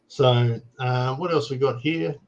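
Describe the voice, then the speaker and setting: A man's voice: medium pitch, a bit bright, lo-fi sound. An Australian man hosting a casual talk show, explaining things plainly and often checking in with "right?". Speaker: show host. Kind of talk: casual chat.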